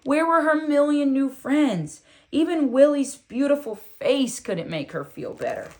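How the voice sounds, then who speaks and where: A woman reading a children's book aloud in an expressive, deliberate storytelling style with emphatic phrasing. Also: sits high.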